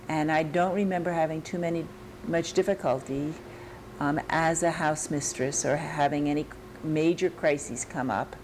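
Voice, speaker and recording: An older American woman with a New York accent, recalling her teaching years in an oral history interview, pausing and restarting as she searches her memory. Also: sits low, a little mellow, a little hiss or hum, low-quality recording. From United States.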